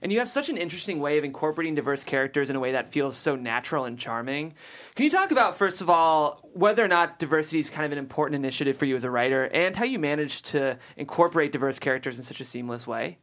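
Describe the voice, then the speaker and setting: Talk show host, mid-pitched, highly animated delivery, chatty and keen. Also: fast talker. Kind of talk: reading script directions, then interviewing a guest.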